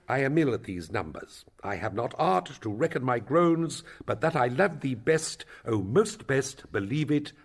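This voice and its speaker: A male actor plays an elderly royal counsellor in a Shakespearean drama, self-important and long-winded. His gravelly, husky voice rises and falls dramatically as he stretches out his words to make a point. He is an eager schemer who savours his own speech. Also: mid-range voice, full character voice. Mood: declaiming. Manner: pompous, rambling, theatrical delivery with drawn-out words. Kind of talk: Shakespearean stage-play acting.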